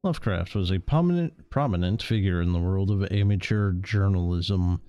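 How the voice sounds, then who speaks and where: A man's voice: deep voice, normal speed, warm tone, no echo, lo-fi sound. A man streaming a game, commenting casually and reading text aloud.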